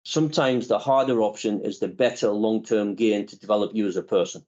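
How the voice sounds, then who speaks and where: A man in his fifties, a company chief executive, answering interview questions in a measured, reflective way with frequent pauses. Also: on the low side, darkish tone, lo-fi sound.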